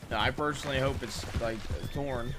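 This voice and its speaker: A man's voice: mid-range voice, fairly even intonation, fairly quick, background noise. A man streaming a roleplay game as a lawman, chatting casually and rambling with restarts. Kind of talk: in-character roleplay chat. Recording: game horse sounds behind. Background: horse hooves and neighs from the game.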